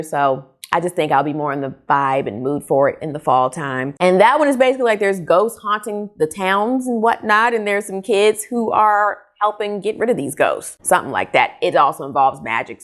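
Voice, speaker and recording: An American woman in her thirties chatting casually and expressively to camera as a book vlogger, recorded in a room at home. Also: lowish voice. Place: small furnished room at home.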